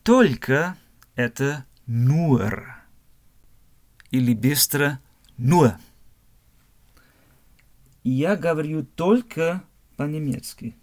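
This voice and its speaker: A man from Germany, teaching a language lesson slowly, spelling words out letter by letter and repeating phrases. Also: fairly high pitch, lively intonation, darkish tone.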